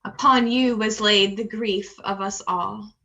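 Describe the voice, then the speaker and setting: Female worship leader for a solemn benediction: bright, forceful tone, slow measured cadence. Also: mid-range voice, fairly even intonation. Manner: measured, with repeated cadenced phrases. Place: online video call.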